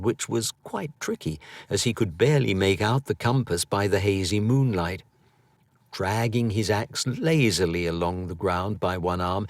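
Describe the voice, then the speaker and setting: Male audiobook narrator, lowish voice, unhurried, theatrical delivery, dry studio sound. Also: no room sound. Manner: theatrical, expressive storytelling. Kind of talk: scripted storybook narration.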